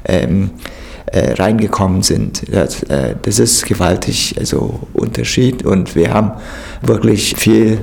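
A man's voice: lowish voice, a little slow, gravelly, a little mellow. A man from Vietnam, a native Vietnamese speaker, answering interview questions hesitantly with many fillers.

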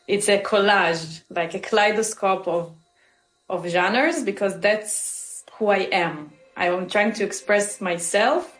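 A woman, answering interview questions thoughtfully and searching for her words. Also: medium pitch. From Israel. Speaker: musician guest. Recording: roomy with faint music.

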